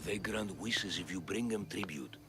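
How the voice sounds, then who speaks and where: An actor playing a poor, despondent, god-fearing man in a video game, speaking haltingly and plaintively. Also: deep voice, music in the background, close to an everyday voice. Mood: weary, fearful. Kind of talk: video game cutscene acting.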